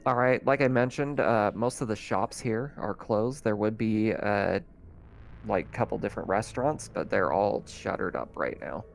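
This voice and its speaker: A man's voice: lowish voice, moderate pace, gravelly. A man narrating a tabletop roleplaying game as game master, in a casual, conversational, improvised way. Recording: music under the voice.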